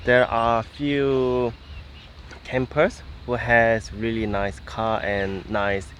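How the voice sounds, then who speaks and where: A man's voice: mid-range voice, flat delivery, takes it slowly, a bit bright. A native Korean man in his twenties, chatting casually for a vlog in simple non-native English. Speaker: vlogger. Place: outdoors at a riverside campground. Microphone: handheld camera at arm's length. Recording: noisy outdoor camera, wind and ambience.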